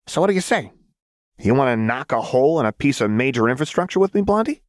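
A man voicing a manic, brash young woman saboteur in an audiobook, with a shrill, slangy, rambling delivery. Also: sing-song, fast talker, dry recording, clean studio sound, natural voice. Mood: eager, cajoling.

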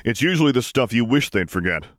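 A man's voice: mid-range voice, sing-song, fast talker, no room sound, voice pushed up, natural voice. A brash, streetwise starship pilot as a male narrator plays him in a sci-fi storybook. He drawls in casual slang with a wry, offhand edge, and the sound is close and dry. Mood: casual, teasing.

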